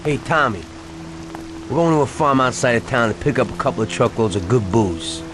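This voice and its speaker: A man's voice: mid-range voice, expressive, fairly quick, music in the background, close to an everyday voice. A man playing an easygoing, streetwise mob henchman in a video game, talking in a casual, slangy, ribbing way. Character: easygoing, teasing gangster henchman, streetwise and joking. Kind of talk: video game cutscene acting.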